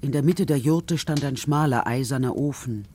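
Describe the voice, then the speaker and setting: A man's voice: mid-range voice, normal speed, darkish tone, a little hiss or hum. A man reading scripted narration for a children's radio play.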